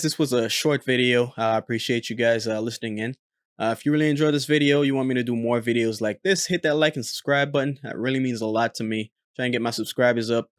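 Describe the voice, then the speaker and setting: A man's voice: lowish voice, smooth, no echo, studio quality. Man hosting an aviation channel. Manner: relaxed, frequent filler "uh". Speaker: host, former military air traffic controller. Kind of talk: casual commentary on radio recordings.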